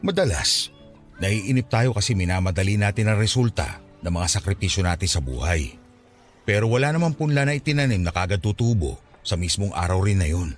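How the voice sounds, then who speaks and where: A deep, expressive Filipino father. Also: fairly quick, faint music behind. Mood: wise, reassuring. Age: 50s. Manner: fatherly, sermonizing, reassuring. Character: devout, wise, gently nagging 55-year-old father. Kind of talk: Tagalog radio drama acting.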